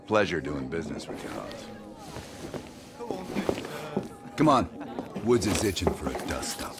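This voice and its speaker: A deep, blunt CIA operative. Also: male voice, huge pitch swings, measured pace, heavy background noise, heavily acted. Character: hard-edged male CIA officer, blunt and confident.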